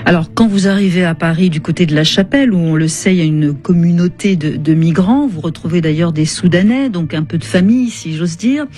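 A woman hosting a radio interview, articulate and measured as she sums up and puts questions to her guest. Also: on the low side, mellow. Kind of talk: broadcast radio interview, reading excerpts aloud.

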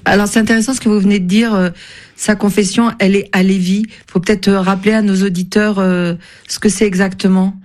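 A woman radio host who asks her author guest measured, articulate questions. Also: mid-range voice, little pitch movement, a little mellow.

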